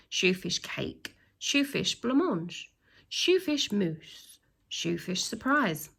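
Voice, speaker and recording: Female reader as male school tyrant for a storybook: pompous, stern, creaky, hammering each rule with drawn-out emphasis. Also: medium pitch, sharp tone, exaggerated voice. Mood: stern, imperious. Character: pompous tyrannical male headmaster reading out his rules. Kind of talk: children's storybook read-aloud.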